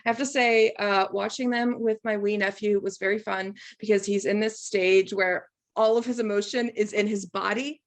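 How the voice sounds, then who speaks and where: A young woman co-hosting a casual reaction chat, chatty and animated, laughing often. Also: medium pitch, moderate pace, few pauses. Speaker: co-host.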